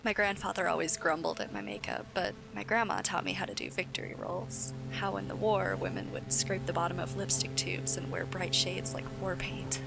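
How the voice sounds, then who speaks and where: A woman playing an anxious, housebound woman who confides in halting, self-deprecating chatter over a radio broadcast. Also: mid-range voice, vocal fry, close to an everyday voice. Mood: wry. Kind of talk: post-apocalyptic radio broadcast monologue. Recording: noisy radio transmission, ambient hiss.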